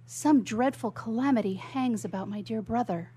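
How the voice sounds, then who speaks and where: A woman's voice: on the high side, normal speed, dark tone, husky. A woman playing an anxious, sorrowful young bride and devoted sister in a radio melodrama, with formal theatrical diction. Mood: worried, solemn. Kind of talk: old melodramatic stage play as radio drama.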